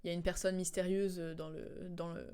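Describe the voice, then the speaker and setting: A woman's voice: lowish voice, flat delivery, normal speed, warm tone, clean tone. A woman streamer giving casual live commentary on game cutscenes, recapping in a hesitant, rambling, amused way.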